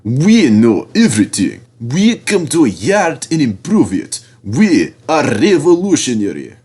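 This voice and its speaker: A man's voice: medium pitch, wildly swooping pitch, a little mellow, husky, pitched above the performer's own voice, heavily acted. A man voicing a smug, conceited diesel engine in a storybook, with an oily, ingratiating purr. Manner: purring, ingratiating, drawn-out words.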